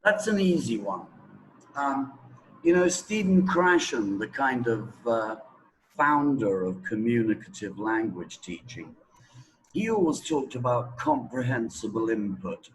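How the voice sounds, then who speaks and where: Slow-talking opinionated man in an interview. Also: medium pitch, very animated, slight background noise, rough recording. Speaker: English teaching expert being interviewed. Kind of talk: conversational interview answers.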